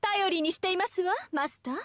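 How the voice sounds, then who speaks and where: A woman's voice: very high-pitched, brisk, a bit bright, dry recording, studio quality. An actress voicing a proud, elegant young goddess in an anime game, with pompous, ornate ladylike speech. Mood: confident, gracious.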